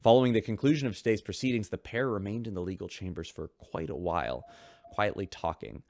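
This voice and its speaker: A man hosting a radio show in scripted narration, with smooth broadcaster delivery. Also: lowish voice, a little fast, a little mellow, slight background noise.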